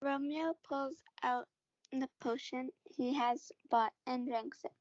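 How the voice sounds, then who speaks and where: A woman's voice: high-pitched, fairly even intonation, takes it slowly, no echo. A female student reading scripted narration for a school reader's theatre play. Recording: dull lo-fi audio.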